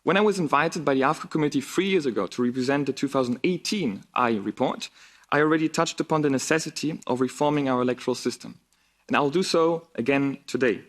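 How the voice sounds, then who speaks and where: A man's voice: mid-range voice, fast talker. A man in his twenties, whose first language is Bulgarian, giving an earnest, emphatic formal speech as a youth delegate in a parliament chamber. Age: 20s.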